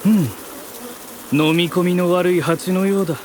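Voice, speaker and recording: Terse, deadpan young inventor. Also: male voice, high voice, a little slow, slight background noise. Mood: deadpan, imperious. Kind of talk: Japanese anime audio drama acting.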